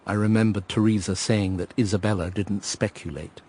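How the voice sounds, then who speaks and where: An actor playing a brooding, wistful man who narrates a radio drama in a measured, reflective way. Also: fairly low pitch, steady tone, darkish tone, husky. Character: brooding, wistful male narrator looking back on lost love.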